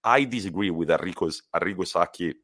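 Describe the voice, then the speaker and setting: Deep-voiced animated man. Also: quick pace, no room sound, studio quality. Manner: animated, emphatic storytelling. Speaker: podcast co-host. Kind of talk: casual football chat.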